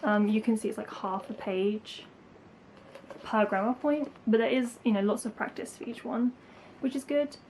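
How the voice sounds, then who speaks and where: A woman's voice: fairly high pitch, fairly even intonation, normal speed, a little mellow, a little hiss or hum, poor audio. A young woman from England, chatting casually to camera as a language-learning vlogger, full of likes and ums. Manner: chatty, lots of likes and ums, light laughs.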